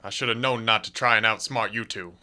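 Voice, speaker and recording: A man's voice: lowish voice, fairly even intonation, brisk, pushed and bright. A man playing an indignant, self-righteous small-town sheriff in a radio drama, folksy and defiant. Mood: defiant, resigned.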